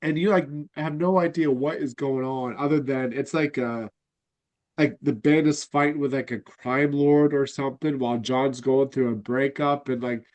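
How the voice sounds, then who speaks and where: A man with a congested, nasal voice hosts a casual fan chat. He rambles along with plenty of fillers and restarts, pitched in an ordinary middle range. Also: steady tone, moderate pace, a little mellow. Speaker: podcast host.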